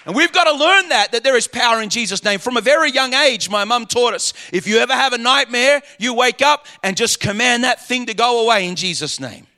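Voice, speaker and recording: Bright high-voiced fervent male preacher. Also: expressive, brisk, few pauses. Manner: fervent preaching with repeated phrases. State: slightly hoarse. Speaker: pastor. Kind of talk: sermon.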